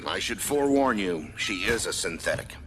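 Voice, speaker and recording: An actor playing a stern military commander in a video game, giving measured, authoritative mission briefings. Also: male voice, low voice, animated, bright, forceful tone, slight background noise, rough recording. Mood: grave. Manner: measured, authoritative, matter-of-fact.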